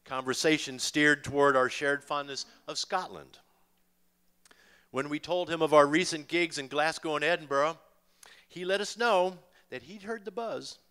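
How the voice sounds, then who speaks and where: Older man, American accent, live memoir reading, sharp bright tone, expressive storyteller, dramatic pauses. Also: a little high, clear, resonant tone. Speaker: author and musician reading from his memoir. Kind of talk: live book reading to an audience. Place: stage in a small dim music venue. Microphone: vocal mic on a boom stand, close.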